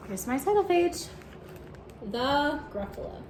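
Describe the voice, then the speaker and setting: Animated high-voiced woman reading to children. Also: slow pace. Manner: expressive, encouraging, instructive. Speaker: teacher reading a picture book aloud to children. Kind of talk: animated read-aloud with questions to young listeners. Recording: roomy echo with faint background noise.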